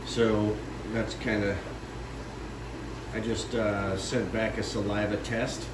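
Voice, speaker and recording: A man with a stuffy nose giving a rambling, casual tour of his home fish room, pausing often with fillers. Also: on the low side, little pitch movement. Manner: rambling, with many pauses and fillers. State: congested with a runny nose. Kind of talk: casual walkthrough vlog. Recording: echoey room with loud AC hum, mic at a distance.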